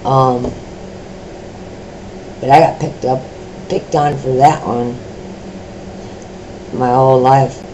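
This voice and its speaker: A middle-aged woman with a deep voice, sharing her own experiences in a personal vlog, speaking slowly with many pauses, ums and restarts. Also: steady tone, warm tone, muffled recording, slight background noise. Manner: slow, halting talk with many fillers and restarts.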